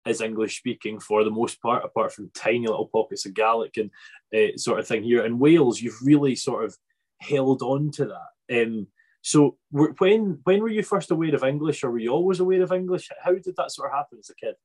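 A man's voice: medium pitch, a little fast, darkish tone, slightly rough. A man hosting a casual interview podcast in a chatty, rambling way, often breaking into his own sentences. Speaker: podcast host.

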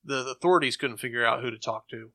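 A man's voice: medium pitch, fast talker, a bit bright, no room sound. A man co-hosting a podcast and giving his opinions in casual conversation. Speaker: podcast co-host. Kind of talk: casual chat.

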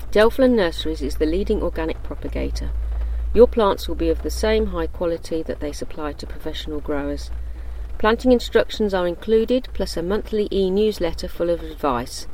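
A man's voice: fairly high pitch, expressive, moderate pace, a little mellow, low-quality recording. A man reading a scripted advertisement, with faint noise behind him. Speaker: sponsor message reader.

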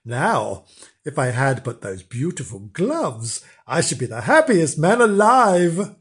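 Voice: mid-range voice, takes it slowly, breathy edge, heavily acted. Mister Vinegar, eager foolish countryman, read aloud. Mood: eager wishful. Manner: eager, wistful, excitable.

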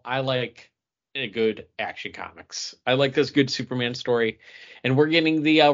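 A man co-hosting a podcast, speaking in casual, bantering chat about geek culture. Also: medium pitch. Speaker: podcast co-host. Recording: dull, lo-fi podcast audio.

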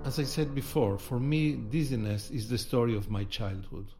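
A mature man from Argentina giving a measured, articulate reflection in a deliberate, grave manner. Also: medium pitch, darkish tone. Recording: music playing behind the voice.

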